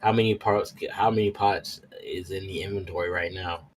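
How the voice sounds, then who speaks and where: A man's voice: sits low, fairly even intonation, normal speed. A man playing a tabletop roleplaying game, chatting informally and asking questions in a casual way. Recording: noticeable background noise.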